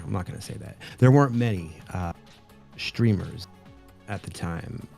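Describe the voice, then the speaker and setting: A man co-hosting a stream, speaking in a casual, halting way with many fillers and restarts. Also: deep voice, gravelly, dark tone. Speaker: streamer co-host. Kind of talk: casual live-stream interview.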